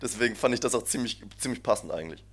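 A man's voice: on the low side, lively intonation, very fast, a bit bright. A young man chatting casually as a podcast co-host, rambling and laughing often as he tells stories. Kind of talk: casual chat. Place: shared flat abroad.

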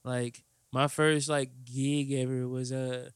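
A young man hosting a podcast, laid-back and slangy, with a soft, naturally high-pitched voice and frequent laughs. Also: little pitch movement, takes it slowly, clear, resonant tone, no room sound, clean studio sound. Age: young adult. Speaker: podcast host. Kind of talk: casual chat interview.

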